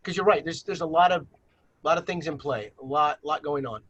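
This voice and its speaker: A man's voice: on the high side, fairly quick, a bit bright, muffled recording. A man leading a virtual planning workshop on a video call, presenting slides with many fillers and restarts.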